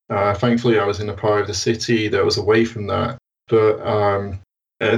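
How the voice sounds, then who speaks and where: The British guest on an interview podcast, a man picking his words carefully in a creaky voice, sitting a bit back from his microphone. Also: on the low side, recorded from a distance, low-quality recording. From United Kingdom. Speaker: guest, science blogger. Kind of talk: conversational interview answers.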